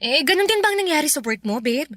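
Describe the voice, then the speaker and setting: A woman acting an emotional, chatty young girlfriend in a Filipino radio drama, expressive and given to nagging. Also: high voice, fast talker, no room sound, studio quality. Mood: worried, insistent. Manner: expressive, nagging, exasperated exclamations. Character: emotional, chatty, astrology-obsessed girlfriend who loves intensely.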